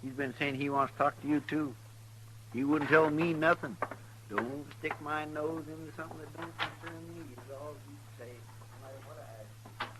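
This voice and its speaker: A man's voice: on the high side, steady tone, moderate pace, natural voice. An actor playing a folksy, talkative frontier townsman in an old-time radio Western. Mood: dutiful, chatty. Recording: noisy vintage radio recording.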